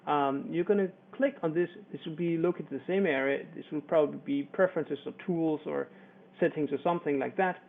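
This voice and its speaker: A Danish-accented man presents an informal software walkthrough with a slightly high voice. He explains things haltingly and hesitantly. The sound is narrow and lo-fi, like a poor line. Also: creaky. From Denmark. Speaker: product reviewer and presenter. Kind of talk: informal screencast tutorial and promotional presentation. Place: voice-over for screen recording.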